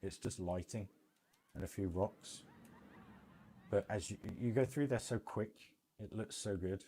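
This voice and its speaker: A man giving casual, halting, rambling commentary on a gaming livestream. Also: lowish voice, warm tone, slight background noise. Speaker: livestream host.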